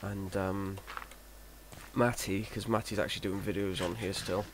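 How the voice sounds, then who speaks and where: A man's voice: low voice, moderate pace. A man, rambling hesitantly and self-deprecatingly through casual gameplay commentary. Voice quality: monotone, self-described. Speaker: Minecraft let's player. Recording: noisy home recording.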